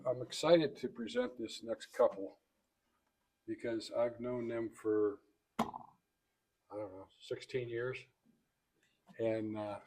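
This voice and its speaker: A man introducing guests in a banquet speech, delivering it phrase by phrase in a halting way. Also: medium pitch, darkish tone, poor audio. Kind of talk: banquet introduction speech, read from notes with asides. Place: banquet event room.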